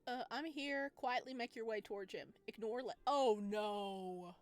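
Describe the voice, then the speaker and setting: A woman giving casual, expressive and playful let's-play commentary as she reacts to a visual novel. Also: on the high side, unhurried. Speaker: gamer playing a visual novel. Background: music.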